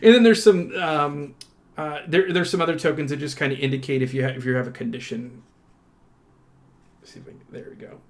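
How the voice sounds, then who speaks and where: A man hosting a casual unboxing in an informal, enthusiastic and halting way, often letting his thoughts trail off. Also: fairly high pitch. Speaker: host of a tabletop game unboxing channel. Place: indoors at a tabletop.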